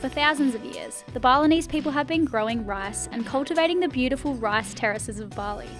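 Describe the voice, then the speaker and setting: A woman's voice: high voice, expressive, a little fast. A woman narrating a travel vlog voice-over. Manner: smooth, upbeat travel narration. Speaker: vlogger. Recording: music underneath.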